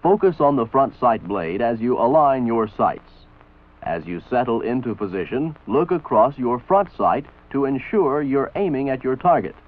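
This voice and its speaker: An American man reading scripted instructional narration for a training film in a measured, authoritative, didactic manner. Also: mid-range voice, animated. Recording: tinny band-limited old film soundtrack. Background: voice-over for an old military training film.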